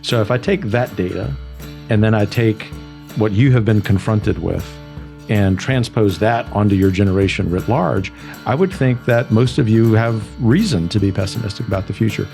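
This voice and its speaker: A middle-aged man hosting an interview show, speaking in a measured, persuasive way and often repeating a word before going on. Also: on the low side, creaky, darkish tone, faint music behind. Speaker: talk show host. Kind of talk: interview conversation.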